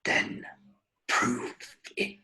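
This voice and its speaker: A man's voice: drawn-out words, bright, forceful tone, exaggerated voice. A man playing a cold, sneering, menacing aristocratic villain in a drama read-through. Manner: sneering, threatening.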